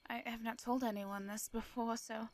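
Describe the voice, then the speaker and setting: A woman playing a shy, formal vampire in a roleplay audio drama, with an English accent, a soft breathy voice and hesitant, flustered phrasing. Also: a little high, fairly even intonation, moderate pace, natural voice.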